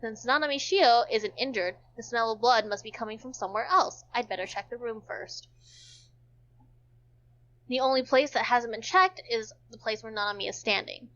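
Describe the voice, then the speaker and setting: A woman with a fairly high voice narrates a visual novel as an amateur reader. She now and then trips on a word and goes back over it. The sound is dull and lo-fi. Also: moderate pace, a bit bright. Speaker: narrator in a group read-along.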